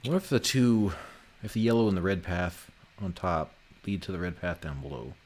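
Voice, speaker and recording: A man with a fairly low voice hosts a live game development stream. He talks casually with his co-hosts and drops swear words as he goes. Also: lively intonation, normal speed, a little mellow. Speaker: game developer hosting a livestream. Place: indoor voice chat on a development livestream.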